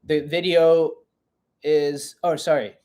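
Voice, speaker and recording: A young man in his twenties hosts a live Q&A stream in a loose, chatty way, often poking fun at himself. His voice sits a little high for a man. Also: flat delivery, slow pace, darkish tone. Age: 20s. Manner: chatty and self-mocking, reading chat aloud. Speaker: podcast host.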